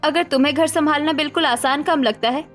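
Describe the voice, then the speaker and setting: A woman's voice: high-pitched, fast, a bit bright, soft background music. A woman playing an overworked housewife in a cartoon story, plaintive and hurt. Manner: plaintive, complaining.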